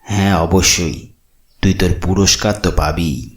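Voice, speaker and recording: A man's voice: low voice, fairly even intonation, unhurried, background noise, pitched below the performer's own voice. A man voicing a sinister, cunning tantric sorcerer with a menacing, coaxing manner in a cartoon horror story. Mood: sly menace. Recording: roomy echo, music behind.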